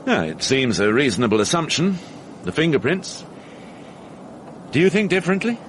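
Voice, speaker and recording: An actor playing a formal, persistent police inspector in a radio drama, speaking precisely and probingly. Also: male voice, fairly high pitch, big pitch swings, moderate pace. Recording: faintly noisy archive radio broadcast.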